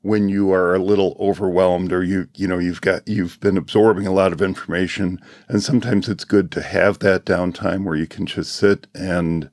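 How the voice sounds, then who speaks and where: A man with a very low voice, hoarse from losing it, talks about his event in a heartfelt, reflective way. He clears his throat often between thoughts. The sound is dry and close. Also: flat delivery, moderate pace, few pauses, darkish tone, dry recording. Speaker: conference organizer. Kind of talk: casual panel chat.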